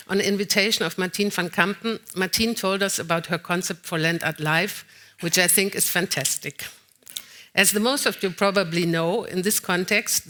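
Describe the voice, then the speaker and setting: A woman's voice: lowish voice, pushed and bright. A woman curator giving a conference keynote from a lectern. She reads partly from notes and speaks with a few hesitant fillers. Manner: slightly halting, with frequent "uh" fillers. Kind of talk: lecture read partly from notes.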